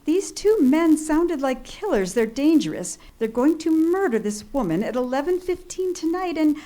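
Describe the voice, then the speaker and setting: An actress playing an anxious, imperious bedridden married woman in a radio suspense play, flustered, halting and indignant. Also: high voice, big pitch swings, mellow. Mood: indignant, alarmed. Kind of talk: old-time radio suspense play acting. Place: live theatre stage.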